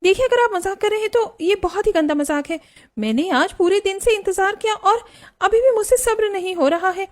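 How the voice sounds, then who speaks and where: Woman voicing an eager, high-pitched young daughter-in-law. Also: fairly quick. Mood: hurt, angry. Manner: emotional, pleading, dramatic. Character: young daughter-in-law, eager, pleading, quick to take offence. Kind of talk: Hindi cartoon story dialogue.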